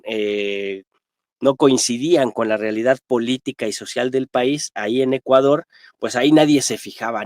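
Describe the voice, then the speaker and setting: A man's voice: mid-range voice, no room sound, studio quality. A man with a Mexican accent giving spontaneous political commentary as a guest analyst, emphatic and militant, often adding tag questions.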